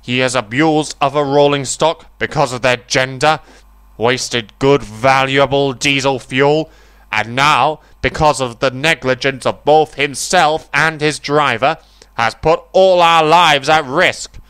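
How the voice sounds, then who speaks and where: A man's voice: mid-range voice, steady tone, a little slow, a bit bright, clean tone, no room sound, voice pushed up, lightly acted. A man voicing a stern, fair-minded male railway boss in a children's story, speaking formally and emphatically. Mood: grave, formal. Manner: formal, emphatic speech-making.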